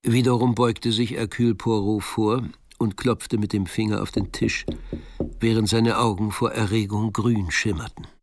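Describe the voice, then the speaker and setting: Male actor, crime tale narrator, lowish pitch, gravelly, composed, literary radio drama delivery. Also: flat delivery, normal speed, background noise, lightly acted.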